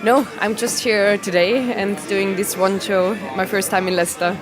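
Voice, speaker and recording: Austrian-accented woman comic, mid-pitched and laughing, interviewed at a venue with music playing loud. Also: fairly even intonation, a crowd in the background. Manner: laughs often, halting non-native phrasing. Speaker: stand-up comedian, interview guest. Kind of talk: casual interview answers.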